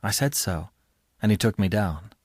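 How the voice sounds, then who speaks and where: A man narrating a story as a dramatic audiobook reader, expressive and deliberate in his scripted delivery. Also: fairly low pitch, husky, no echo, studio quality.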